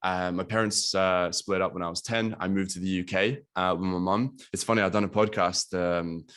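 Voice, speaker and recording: A young man from Latvia talks loosely over a video call, his voice low. He fills his speech with ums and likes and swears casually. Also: fairly even intonation, normal speed, fairly bright tone. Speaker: guest business coach.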